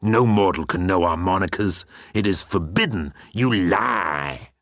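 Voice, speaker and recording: A man's voice: low voice, measured pace, fairly bright tone, no room sound, studio quality. A man voicing a pompous, self-pitying god who guards a bridge, easily offended and declamatory, in an audiobook. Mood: haughty.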